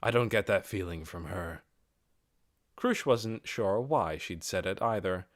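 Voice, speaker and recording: Male audiobook narrator, fairly low voice, measured, expressive, dramatic fantasy storytelling, dry close recording. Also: no echo.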